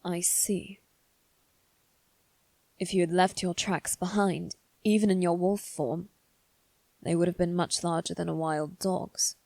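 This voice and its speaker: A woman voicing a guarded, haughty young noble boy in a scripted ASMR roleplay, with measured, formal phrasing. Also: on the low side, fairly even intonation, gravelly, darkish tone, no echo. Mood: thoughtful, subdued. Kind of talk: ASMR roleplay, one-sided scripted dialogue.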